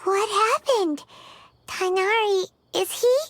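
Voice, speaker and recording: A woman voicing a small, curious, chatty fairy companion in a video game, with a squeaky childlike voice. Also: slow, slight background noise, exaggerated voice. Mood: thoughtful, eager.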